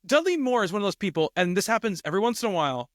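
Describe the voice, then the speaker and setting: Higher-voiced man talking film. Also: big pitch swings, rapid-fire, no echo, studio quality. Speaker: film podcast co-host. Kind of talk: casual film discussion.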